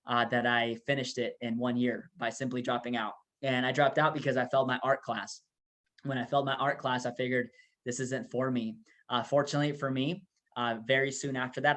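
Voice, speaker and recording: Man from Peru giving a motivational pitch. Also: fairly bright tone. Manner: casual, many fillers, motivational storytelling. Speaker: presenter. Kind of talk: business opportunity presentation.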